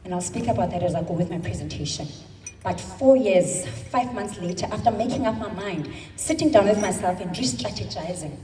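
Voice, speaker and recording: A South African woman in her thirties giving an emphatic motivational speech at a convention, stretching her words into slow dramatic pauses. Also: mid-range voice, expressive, dark tone, a little hiss or hum. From South Africa, Eastern Cape. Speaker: convention speaker. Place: large hall. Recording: echoey hall, speaker far from mic.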